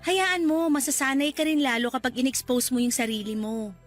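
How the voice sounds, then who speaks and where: A kind, upbeat young woman who cheers a shy friend on, her voice sitting high and bright, as an actress plays her. She speaks in a classic radio-drama style. Music plays clearly under her lines. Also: fairly even intonation, brisk, lightly acted. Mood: encouraging. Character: kind gentle young woman volunteer, Diana.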